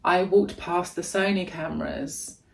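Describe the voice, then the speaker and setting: A woman with a deep voice, chatting casually and gushing as she unboxes a gadget in a vlog at home. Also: steady tone, unhurried, gravelly, a little mellow. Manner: gushing, chatty, thinking aloud. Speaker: vlogger.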